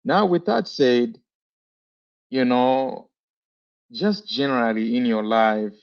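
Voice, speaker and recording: A man's voice: medium pitch, expressive, takes it slowly, highs cut off. A man hosting a podcast over a video call, asking long questions filled with fillers. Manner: warm-spoken, rambling, many fillers. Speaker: podcast host. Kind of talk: interview questions.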